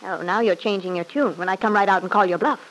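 A woman's voice: medium pitch, fast, a bit bright, natural voice, low-quality recording. An actress playing a proud, short-tempered young mother in an old-time radio soap opera, speaking in a cold, guarded, curt way. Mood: defiant, guarded.

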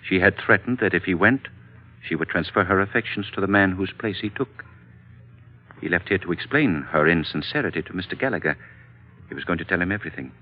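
An actor playing a calm, fatherly older employer with a measured, formal manner in a classic radio drama. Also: low-pitched, breathy edge. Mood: grave, measured. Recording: thin, narrow-band vintage radio recording.

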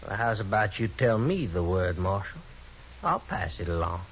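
A man's voice: on the low side, very animated, normal speed, a little hiss or hum. An actor playing an insolent cowboy gunman with a mocking drawl, on an old 1950s radio drama. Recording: dull 1950s radio broadcast.

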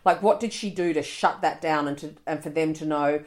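A woman's voice: low-pitched, a little fast, darkish tone. A middle-aged woman, co-hosting a podcast in blunt, playful chat with plenty of swearing. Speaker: podcast co-host. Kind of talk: casual chat.

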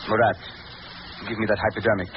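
A man's voice: lowish voice, little pitch movement, fast, close to an everyday voice. Male mad doctor , played by a man: proud, courtly, coldly menacing, formal diction, old radio thriller style, muffled and noisy. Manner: formal, courtly, sinister phrasing. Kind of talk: 1930s-40s radio thriller acting.

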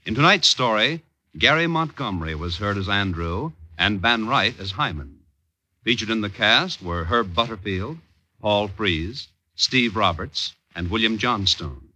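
Male American vintage radio announcer, deepish yet piercingly bright, formal and emphatic, scripted read. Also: expressive, unhurried, dry recording, rough recording.

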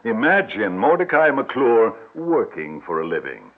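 An actor playing a smooth-talking, grandiose older male swindler in a florid old-time radio drama style. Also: medium pitch, very animated, measured pace. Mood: rueful, resigned. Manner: florid, pompous. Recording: thin, phone-like old radio recording.

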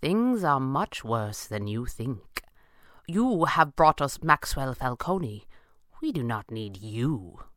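Smooth, cruel pulp villain, man reading, money-hungry, all menacing courtesy, with a highly varied melody. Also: sing-song, slow, fairly bright tone, no echo, clean studio sound. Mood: smoothly menacing. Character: oily, greedy male villain, smooth-talking, cruel mercenary. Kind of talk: dramatised pulp adventure audiobook.

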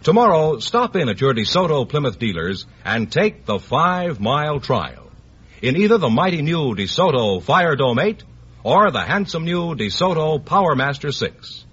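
Husky, emphatic American radio pitchman. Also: very animated, moderate pace, a bit bright. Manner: emphatic, polished sales delivery. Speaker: commercial announcer. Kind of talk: 1950s radio advertisement. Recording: dull vintage radio recording.

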